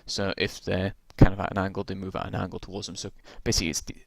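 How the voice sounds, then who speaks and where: A man casually walking through tabletop game rules, rambling with lots of ums and restarts, his voice gone croaky from talking so long. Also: deep voice, level tone, fast, no room sound.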